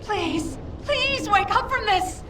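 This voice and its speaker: A desperate mother cries out in a very high, drawn-out voice. She pleads in distress, like a game cutscene, echoing under loud noise. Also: expressive, exaggerated voice. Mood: desperate pleading. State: distraught, crying out. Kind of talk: video game voice acting. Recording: distant, echoey, very noisy background.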